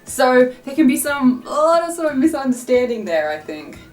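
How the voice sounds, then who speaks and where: A woman hosting a casual, chatty vlog in an animated storytelling way. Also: high voice, moderate pace. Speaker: vlog host. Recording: roomy, distant mic with faint music.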